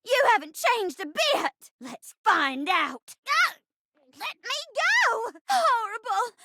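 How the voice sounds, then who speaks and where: A woman's voice: very high voice, big pitch swings, takes it slowly, pushed and bright, dry recording, clean studio sound, full character voice. A woman voicing a sweet, lovestruck young bride in a video game, girlish and theatrical, with grand stage declamation. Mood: aghast, defiant. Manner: grand stage declamation, breaking into bashful chatty asides. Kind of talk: exaggerated romantic stage-play acting in a video game.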